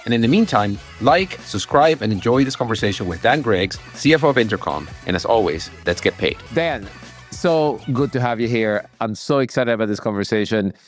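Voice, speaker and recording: A man's voice: on the low side, animated, fairly quick, faint music behind. A man hosting a podcast, talking in an enthusiastic, emphatic way as he introduces and interviews. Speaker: podcast host.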